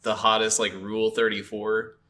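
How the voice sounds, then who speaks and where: A man's voice: fairly low pitch, completely flat delivery, moderate pace, pushed and bright. A man co-hosting a video game podcast, chatting casually in an easygoing, agreeable way. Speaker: podcast co-host. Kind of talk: casual chat about video games.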